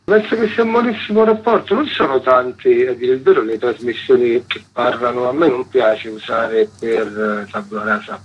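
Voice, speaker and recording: A man's voice: mid-range voice, normal speed, few pauses, slightly rough, muffled recording. A man, a radio editor being interviewed, explaining at length in a conversational, self-correcting way.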